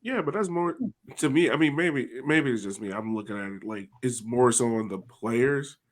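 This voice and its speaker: A man's voice: medium pitch, animated, moderate pace. A man co-hosting a casual sports podcast, talking loosely with many fillers. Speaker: podcast co-host.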